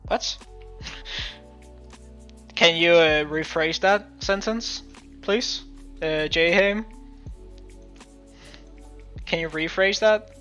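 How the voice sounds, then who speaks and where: Danish man, bright halting stream chat. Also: fairly high pitch, smooth, faint music behind. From Denmark. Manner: halting, many restarts and fillers. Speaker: game developer streamer. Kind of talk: casual live-stream commentary while coding. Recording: muffled lo-fi stream audio.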